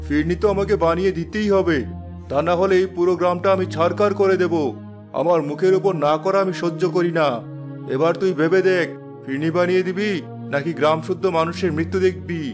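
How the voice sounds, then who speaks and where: An imperious man of the royal court played by a male actor in a cartoon fairy tale. His voice is high for a man, formal and theatrical, over clear background music. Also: steady tone, fairly quick, natural voice. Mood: threatening.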